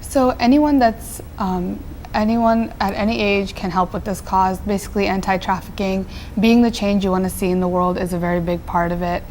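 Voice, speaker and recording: A woman's voice: mid-range voice, steady tone, moderate pace, darkish tone, slight background noise. A teenage girl from Nepal, a nonprofit founder answering interview questions earnestly, with frequent fillers and restarts. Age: teens.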